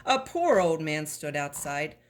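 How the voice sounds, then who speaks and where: A woman reading a children's story aloud in an expressive, animated way, as a storybook reader. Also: low-pitched, unhurried.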